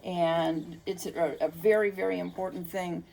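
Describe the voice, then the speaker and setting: Older female interview guest, lowish gravelly voice, earnest and conversational, faint noise behind. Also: steady tone, unhurried, warm tone. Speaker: children's book author, interview guest. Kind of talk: unscripted interview answers.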